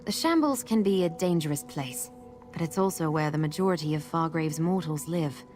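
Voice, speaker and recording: Low-voiced, guarded fantasy heroine. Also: female voice, lively intonation, normal speed, darkish tone, slight background noise. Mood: matter-of-fact. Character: masked, guarded, determined woman guide in a fantasy city. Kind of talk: fantasy game voice acting.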